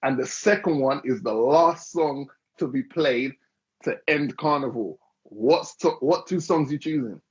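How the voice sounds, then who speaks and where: A man's voice: mid-range voice, measured pace, breathy edge. A man hosting an interview, teasing his guest and asking long, rambling questions in a casual chat. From Dominica. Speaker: interview host. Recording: dull lo-fi recording.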